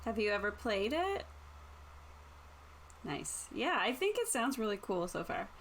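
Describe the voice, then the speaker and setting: A woman's voice: medium pitch, big pitch swings, a little slow, fairly bright tone, slight background noise, low-quality recording. A woman chatting casually as a player and co-host, reacting to what she hears in an easily amused way.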